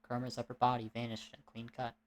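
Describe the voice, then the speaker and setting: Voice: medium pitch, monotone, fast talker. An amateur narrator reading a dramatic story at home, in a scripted storytelling style. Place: at home, recording indoors.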